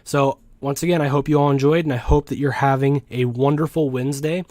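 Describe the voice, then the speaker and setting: A man's voice: mid-range voice, fairly even intonation, a little fast, a little mellow, dry recording. A young adult man giving an informal weather explainer as a forecast host, conversational and a little hesitant, often correcting himself as he goes. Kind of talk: informal explainer commentary over weather maps.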